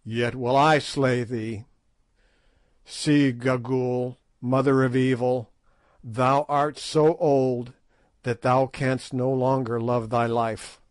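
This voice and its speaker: Stern king, read by a man: grave, deliberate, authoritative. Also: medium pitch, little pitch movement, dry recording, close to an everyday voice. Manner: solemn, commanding. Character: stern young African king, commanding. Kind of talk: audiobook character voice.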